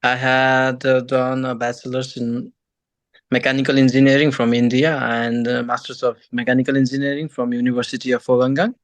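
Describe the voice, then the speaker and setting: Young man, Nepali accent, medium pitch, halting non-native phrasing, interview guest, very dry recording. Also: level tone, no echo. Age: young adult. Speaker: guest, engineering graduate and former student. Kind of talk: interview answers.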